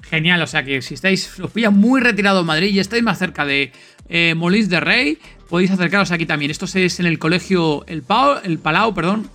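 Man, Spain accent, high voice, forceful bright tone, informal podcast chat, enthusiastic, faint music behind. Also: animated, fairly quick. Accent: Spanish from Spain. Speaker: podcast host. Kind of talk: casual podcast announcements and chat.

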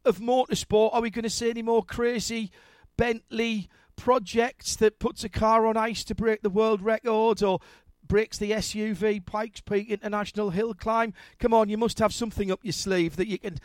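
An upbeat, enthusiastic male host with a notably high voice speaks close to the mic in a dry, dead room. His manner is eager and friendly as he puts his questions. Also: steady tone, normal speed, dry recording. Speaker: radio show host. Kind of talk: hosting an interview.

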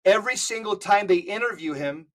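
A man with a high voice speaks as a guest on a casual podcast. He tells his stories in an emphatic, animated way. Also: fairly quick.

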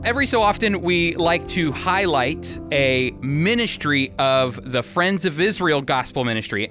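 A man's voice: a little high, a bit bright, clear, resonant tone, dry recording. An American man hosting and interviewing on a radio program, speaking as a presenter. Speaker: radio show host.

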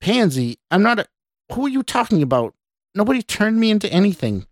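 A man's voice: mid-range voice, lively intonation, measured pace, darkish tone, no room sound, clean studio sound, close to an everyday voice. A man voicing a distraught, panicking man who is losing his mind, agitated and pleading, in an audiobook. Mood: angry confusion. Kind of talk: audiobook character voice.

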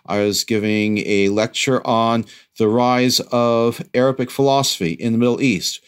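Articulate male co-host, lecturing tone. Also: medium pitch. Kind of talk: measured explanatory talk.

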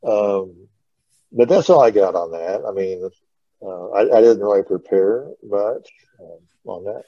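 A man hosting a podcast in a halting, offhand, casual chat. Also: on the low side, warm tone, no room sound, dull audio. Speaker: podcast host.

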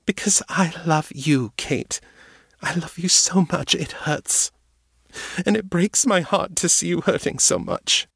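A man voicing a gentle, devoted undead woman who tenderly calls her friend darling. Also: on the low side, unhurried, breathy edge, no room sound, clean studio sound. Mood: tearful. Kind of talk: audiobook character voice.

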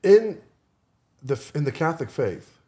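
A man's voice: a little high, big pitch swings, normal speed, warm tone. Man in earnest, casual conversation. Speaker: podcast discussion partner.

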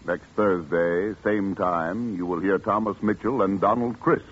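Deep, slow 1940s radio announcer man. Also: steady tone, slight background noise. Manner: dramatic, measured. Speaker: show announcer. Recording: dull 1940s radio broadcast with faint music.

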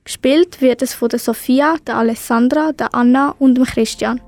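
A woman's voice: high-pitched, normal speed. A teenage girl presenting a radio programme from a script.

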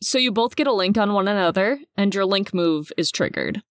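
A woman game master explaining rules plainly and steadily. Her voice is mid-pitched and recorded very dry and close. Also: animated, brisk, no room sound.

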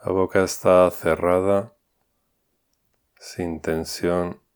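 A man leading a guided meditation, giving calm, unhurried instructions with long pauses. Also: low voice, smooth.

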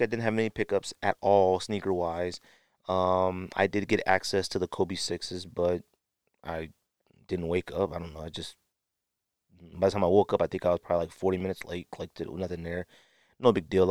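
Male podcast host, low smooth voice, unscripted slangy rambling, a touch of stuffy nose. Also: no room sound, studio quality. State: sniffly, stuffy nose late at night. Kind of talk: casual solo sneaker podcast chat.

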